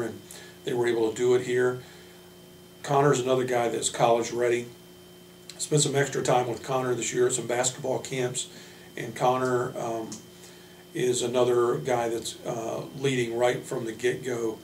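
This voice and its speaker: Even-toned male coach in an echoey room. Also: medium pitch. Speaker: college basketball coach. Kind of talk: informal spoken player introductions. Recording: roomy, distant mic, faint hum.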